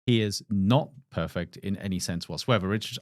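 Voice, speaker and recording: A man's voice: low voice, moderate pace, no echo, studio quality. A man in his fifties, co-hosting a podcast and talking thoughtfully about books in a casual chat. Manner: animated, thoughtful, frequent restarts. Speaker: podcast co-host.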